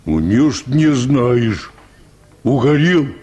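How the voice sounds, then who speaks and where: An actor playing a stubborn, cheeky peasant choir bass in a Russian radio play, rustic, unhurried and mocking. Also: male voice, sing-song, mellow. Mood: amused.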